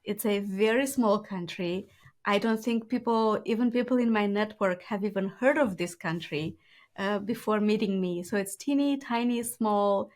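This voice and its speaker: A woman's voice: medium pitch, darkish tone. A woman in her thirties from Moldova with a Moldovan accent, a Romanian native speaker telling her story earnestly as an interview guest. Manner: quick, earnest storytelling with light laughs. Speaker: guest entrepreneur. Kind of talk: conversational interview.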